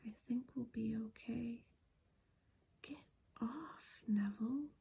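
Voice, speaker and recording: A woman's voice: on the high side, takes it slowly, vocal fry, mellow, slightly rough, exaggerated voice. A woman voicing a tense young schoolboy who whispers. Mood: hushed.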